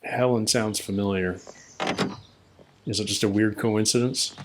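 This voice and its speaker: A man's voice: fairly low pitch, steady tone, a little slow, noisy background. A man hosting a reaction video, casually thinking aloud with short asides and questions as he comments on a TV episode. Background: TV show soundtrack.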